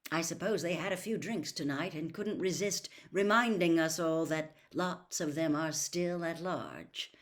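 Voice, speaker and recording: A woman's voice: sits low, a little slow. A woman voicing a grave, weary middle-aged father explaining things in a storybook reading. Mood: bitter.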